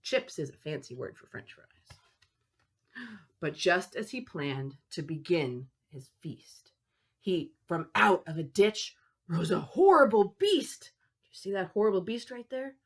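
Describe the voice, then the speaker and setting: A woman in her thirties reads aloud to young children like a teacher. Her voice is slightly breathy and very animated, moving slowly through the story and stretching key words. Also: medium pitch. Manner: expressive, gasping, drawn-out key words, child-directed. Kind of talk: animated picture-book read-aloud.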